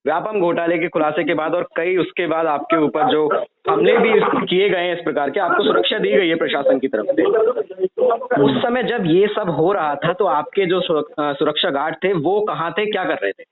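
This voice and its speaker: A man's voice: fairly high pitch, normal speed, phone-quality sound, over a noisy crowd. A man hosting a news interview, speaking emphatically and posing pointed questions. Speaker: news show host interviewing a guest.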